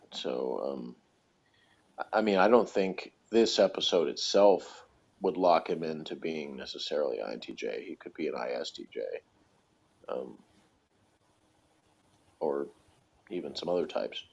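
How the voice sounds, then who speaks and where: A man's voice: rumbling low, expressive, unhurried, creaky, long pauses, breathy edge. A man chatting casually as a podcast reviewer, thinking aloud in a hesitant, hedging way. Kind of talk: casual chat, rambling commentary. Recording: dull lo-fi recording.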